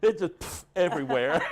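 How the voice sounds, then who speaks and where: Playful, lively Texan host, fairly high voice. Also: male voice. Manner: playful, joking, animated. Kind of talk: casual interview. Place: convention hall.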